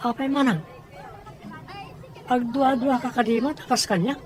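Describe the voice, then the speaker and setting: A woman's voice: fairly high pitch, moderate pace, a faint crowd, a little hiss or hum, lightly acted. A woman with an Ilocano accent playing a persistent questioner in a radio drama. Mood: questioning. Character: probing, persistent questioner digging into a suspicious death.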